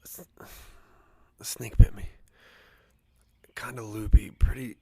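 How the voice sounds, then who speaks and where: Male actor as woozy, injured man for audio drama; slurred, stammering, breathy and sorry. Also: mid-range voice, fairly even intonation, slow pace, mellow, whispered, heavily acted. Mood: woozy, weak. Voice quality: slurred, thick-tongued. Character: dazed snakebitten man, slurring, apologetic, fading.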